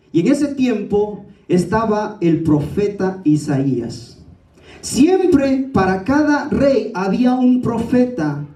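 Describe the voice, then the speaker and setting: A man's voice: very high-pitched, warm tone. A man preaching a sermon in a didactic, exhorting way, addressing listeners directly. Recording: echoey room, distant from the mic.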